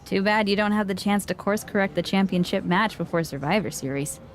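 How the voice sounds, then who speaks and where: A woman's voice: medium pitch, a little fast, a little hiss or hum, lightly acted. A woman playing a wry, sceptical confidante in a video game, conversational and sardonic. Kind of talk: video game cutscene dialogue.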